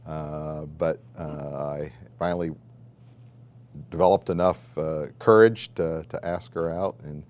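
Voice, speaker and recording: A middle-aged man with an American accent, telling his story in a measured, conversational way as he answers interview questions. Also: low-pitched, a little mellow, a little hiss or hum. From Southern Illinois, United States. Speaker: college dean and pharmacist.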